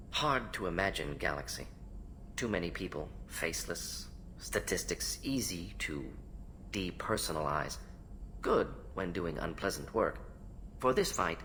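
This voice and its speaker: Video game alien scientist: analytical, clipped, telegraphic delivery; forceful low voice, slight noise behind. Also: male voice, full character voice. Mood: earnest. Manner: clipped telegraphic phrases, clinical, omits pronouns. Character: fast-talking, analytical alien scientist, proud and ageing uncle. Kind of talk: video game dialogue acting.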